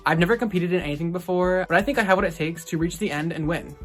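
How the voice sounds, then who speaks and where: A man's voice: high-pitched, fast talker, soft background music. An eighteen-year-old male contestant speaking in a blunt, self-assured confessional. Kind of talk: confessional interview.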